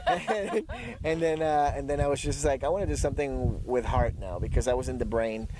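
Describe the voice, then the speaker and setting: A man's voice: mid-range voice, a little fast, a crowd in the background, rough recording. Chatty man, laughing often. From Ecuador. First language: Spanish. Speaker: guest, dog trainer. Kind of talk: casual interview chat.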